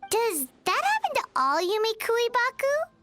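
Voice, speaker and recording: Tiny perky game fairy: very high, squeaky, childlike, lively swoops, music behind. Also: female voice, normal speed, exaggerated voice. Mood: curious. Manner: refers to self in third person, exclamatory. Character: tiny perky childlike floating fairy companion, female, excitable. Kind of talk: video game voice acting. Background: background music.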